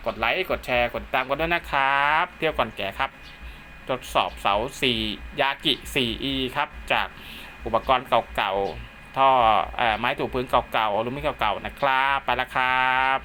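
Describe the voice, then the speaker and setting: Guy showing off his radio setup at home, very bright crisp voice, bit of hiss behind. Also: mid-range voice. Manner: steady, polite, ends nearly every phrase with a polite particle. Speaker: amateur radio hobbyist vlogger. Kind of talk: casual hands-on demo narration. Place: room at home by a window.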